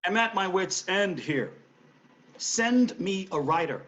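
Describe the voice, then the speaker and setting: A man's voice: high-pitched, animated, slow pace, fairly bright tone, exaggerated voice. An actor playing a wry, emphatic male TV studio executive in a screenplay table read. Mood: exasperated, incredulous.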